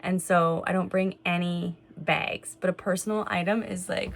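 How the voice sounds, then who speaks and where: A woman's voice: fairly low pitch, steady tone, moderate pace, vocal fry. A woman chatting informally as a mom vlogger, thinking aloud in casual home-video style. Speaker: vlog host and mother of young kids. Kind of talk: casual home vlog chat, talking to viewers and kids. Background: music.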